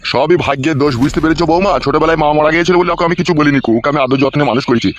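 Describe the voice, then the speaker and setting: Man doing a rapid-fire angry cartoon father. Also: a little high, muffled recording, a little hiss or hum, natural voice. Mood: bitter regret. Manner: scolding, cursing. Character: angry, foul-mouthed, bitter older cartoon father. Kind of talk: comedy cartoon dubbing.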